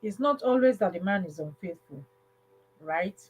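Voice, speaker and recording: A woman's voice: medium pitch, expressive, moderate pace, a little mellow, slight background noise, low-quality recording. A woman hosting a casual livestream advice talk, speaking emphatically and repeating phrases for stress. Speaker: livestream host.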